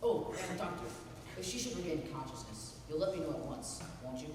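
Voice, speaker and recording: Guy acting a stern cold gentleman, curt, echoey hall, noisy. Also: a little high, lively intonation, a little fast, lightly acted. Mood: cool. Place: stage in a large hall. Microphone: distant camera mic. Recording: echoey hall, distant mic, background noise.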